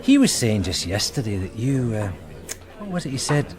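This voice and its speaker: Male police sergeant, radio drama, acted: touchy, tipsy, blustering, animated mid-range voice against crowd chatter. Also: mid-range voice, normal speed, close to an everyday voice. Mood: matey. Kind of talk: naturalistic radio drama acting. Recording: pub chatter behind the voice.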